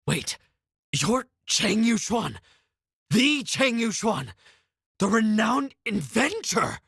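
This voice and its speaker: Raspy lively male adventurer, wary, inquisitive, game character, dry recording. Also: sits high, slow pace, no room sound, heavily acted. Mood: incredulous, curious. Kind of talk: visual novel game voice acting.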